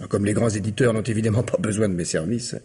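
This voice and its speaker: An actor playing an uneasy, weary, guarded publisher and husband in a French radio mystery drama. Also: male voice, on the low side, fast, darkish tone, husky. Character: married male mail-order publisher, uneasy, weary, evasive.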